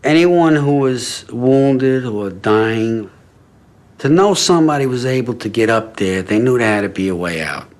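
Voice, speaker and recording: A man's voice: medium pitch, animated, a little slow. A man giving reflective commentary as a documentary interviewee. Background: faint background music.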